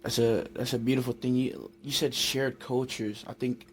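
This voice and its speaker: A man's voice: mid-range voice, steady tone, moderate pace, slight background noise. A man co-hosting a casual podcast, affirming the guest and voicing frequent agreement. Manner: affirming, lots of backchannel agreement. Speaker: podcast co-host.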